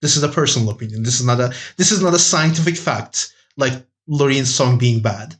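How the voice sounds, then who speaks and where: A man's voice: mid-range voice, brisk. A man talking casually and enthusiastically as a panelist on a podcast. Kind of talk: casual chat.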